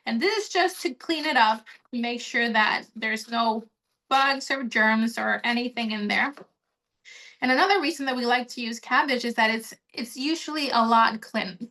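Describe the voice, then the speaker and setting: A woman's voice: on the high side, normal speed, bright, forceful tone. A woman from Honduras leading a friendly, explanatory online cooking demonstration, talking while she chops and prepares food. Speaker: cooking demo instructor.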